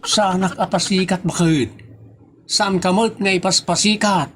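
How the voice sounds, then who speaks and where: A man acting an excitable, boastful gambling husband in a comedy audio drama, bantering defensively with exaggerated delivery. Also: high voice, measured pace, background noise.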